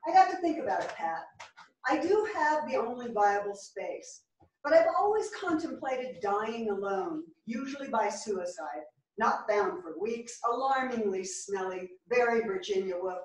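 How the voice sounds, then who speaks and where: A woman's voice: medium pitch, expressive, a little slow, lightly acted. An actress playing a sardonic, bookish elderly woman with dry, morbid wit in a staged reading. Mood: dry, wry. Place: small theatre stage, far camera. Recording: echoey theatre, distant microphone.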